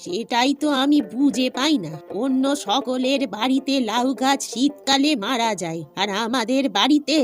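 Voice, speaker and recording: A woman's voice: sits high, moderate pace, few pauses, natural voice. A woman voicing a practical village housewife in a cartoon, talking in a questioning, exclamatory way. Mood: nagging, doubtful. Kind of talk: animated cartoon dialogue. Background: music.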